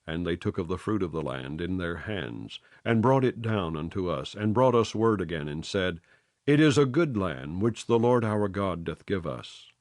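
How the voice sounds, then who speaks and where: A man reading scripture aloud in a measured, solemn way, phrase by phrase. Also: low-pitched, lively intonation, no echo, studio quality. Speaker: Bible reader.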